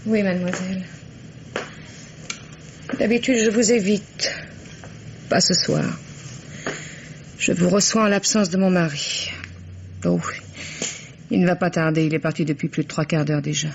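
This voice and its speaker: A woman's voice: fairly low pitch, fairly even intonation, unhurried, long pauses. A middle-aged mother speaks with stunned, icy composure and formal control. It sounds like an old radio play, muffled and lo-fi with a layer of noise. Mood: eerily calm. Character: bereaved mother, stunned, coldly composed and accusing. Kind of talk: 1950s French radio drama acting. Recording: dull lo-fi old radio, noisy.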